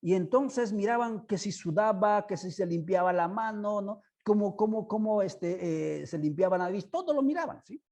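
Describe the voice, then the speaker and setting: Halting, mellow-voiced man hosting online. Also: high-pitched. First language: not Spanish. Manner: halting, full of fillers and tag questions. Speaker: host of an online discussion. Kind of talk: informal spoken commentary to an online audience. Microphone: headset on a video call.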